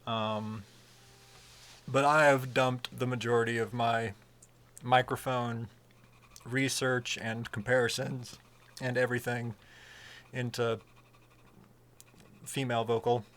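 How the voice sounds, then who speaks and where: Low-voiced man rambling, flat and even. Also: takes it slowly, pauses often. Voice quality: fairly low voice. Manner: rambling, hesitant, many fillers and restarts. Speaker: streamer talking about microphones. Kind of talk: casual livestream chat.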